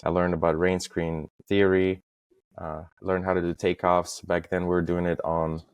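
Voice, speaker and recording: Low-voiced man, very even in pitch. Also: moderate pace, clean tone, dry recording, highs cut off. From Estonia. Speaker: podcast guest, facade industry professional. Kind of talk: casual interview, recounting career.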